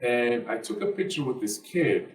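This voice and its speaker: A young man with a Turkish accent, answering interview questions earnestly in halting speech full of fillers. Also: medium pitch, very animated, faint music behind. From Turkey. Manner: earnest, halting, many fillers and broken grammar. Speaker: guest, basketball player and activist. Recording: roomy, distant from the mic.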